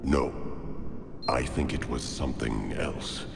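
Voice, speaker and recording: An actor playing a sinister, grave occult assassin in a video game, speaking formally and ominously with a gravelly, rumbling voice. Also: male voice, slow pace, full character voice. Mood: grave, deferential. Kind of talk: video game villain acting. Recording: cavernous reverb with loud noise.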